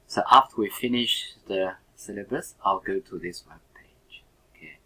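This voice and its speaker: A middle-aged man who is not a native English speaker, lecturing to students in halting, simple phrases and often checking with an okay. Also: deep voice, sharp tone, breathy edge, poor audio. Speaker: university lecturer.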